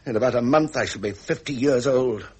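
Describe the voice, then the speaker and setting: Man acting a husky, imperious English prince. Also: mid-range voice, a little fast, darkish tone, natural voice. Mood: weary, frustrated. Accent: upper-class English. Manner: formal, imperious. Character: frustrated, proud Prince of Wales, nearly fifty. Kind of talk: period British broadcast drama acting.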